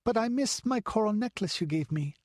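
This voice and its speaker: A man's voice: sits high, expressive, fairly quick, a little mellow, no echo, studio quality, pitched above the performer's own voice. A man voicing a frightened little girl who talks breathlessly and pleads. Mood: pleading.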